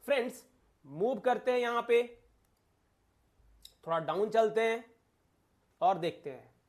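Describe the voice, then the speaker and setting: A man's voice: extremely high pitch, lively intonation, a little slow. A man with an Indian accent teaching an exam coaching lesson, explaining emphatically, repeating points and asking rhetorical questions.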